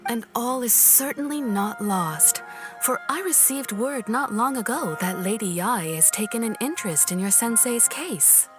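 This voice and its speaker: A woman playing a composed, courteous shrine maiden in a video game, speaking formally and deliberately. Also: fairly high pitch, expressive, over music. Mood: apologetic, calm.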